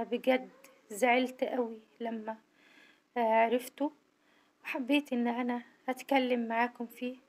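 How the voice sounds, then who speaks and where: A woman with an Egyptian accent talks to camera from her home, her high voice tired and strained. She speaks earnestly, with an indignant edge, as a social worker making an appeal. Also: darkish tone. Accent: Egyptian Arabic. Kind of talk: monologue to camera.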